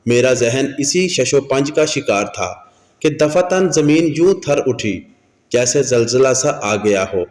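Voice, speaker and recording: A man's voice: mid-range voice, darkish tone. A man narrating a scripted moral tale as a storyteller. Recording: echoey with long hall reverb.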